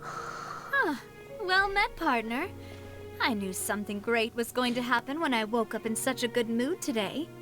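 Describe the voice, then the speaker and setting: A woman's voice: on the high side, animated, a little slow. A woman voicing a confident, cheerful young noblewoman leader in an anime-style video game, with a breezy, self-assured, theatrical delivery. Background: game music.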